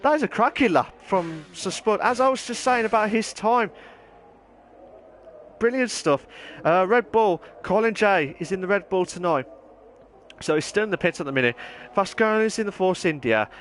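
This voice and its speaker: Man, high voice, live sim race caller, excitable, slightly hoarse, faint hum behind. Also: moderate pace. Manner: rambling, excitable, bursts into shouting. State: voice going hoarse. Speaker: sim racing league commentator. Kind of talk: live esports race commentary.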